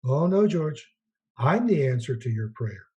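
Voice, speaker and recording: A man playing a gentle, eager, naive guardian angel in a radio play, earnest and quaintly polite, with a husky voice. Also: medium pitch, very animated, a little slow, highs cut off, exaggerated voice. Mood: kindly, eager. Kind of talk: 1940s-style radio play acting.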